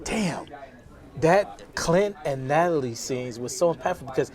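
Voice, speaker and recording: Man, forties, a touch high-pitched, rambling casual chat, repeats himself. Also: measured pace. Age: 40s. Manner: rambling, repeats words when excited. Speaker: panel guest.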